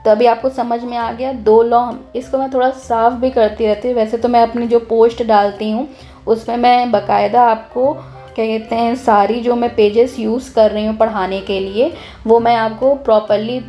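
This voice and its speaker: A young woman, slightly hoarse, explaining a chemistry lesson in a casual, lively way, often restarting her sentences. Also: a little high, normal speed, faint music behind. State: hoarse, clears her throat. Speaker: chemistry teacher.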